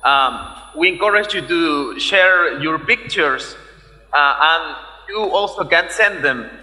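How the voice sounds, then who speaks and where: A man's voice: fairly high pitch, expressive, takes it slowly, bright, forceful tone. A man co-hosting an online event, giving informal announcements to viewers. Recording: reverberant event room.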